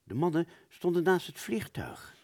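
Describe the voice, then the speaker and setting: A man playing a wounded British fighter pilot, telling his story in a radio play with a husky voice and halting, stammering delivery. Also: on the high side, sing-song, a little mellow. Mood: weary, remembering. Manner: halting, stammering, repeats words. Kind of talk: first-person dramatic narration in a radio play.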